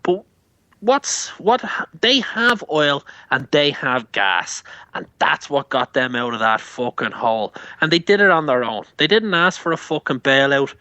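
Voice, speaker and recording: A man's voice: a little high, big pitch swings, measured pace, bright, forceful tone, no echo, dull audio. A man with an Irish accent, ranting heatedly and full of swearing in a casual show chat. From Ireland. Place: Canada.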